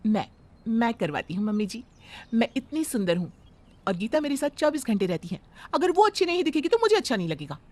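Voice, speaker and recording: A woman's voice: on the high side, sing-song, fairly quick, a little mellow. A woman voicing a spoiled, cheeky young bride who talks back. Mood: sassy. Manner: cheeky, dismissive.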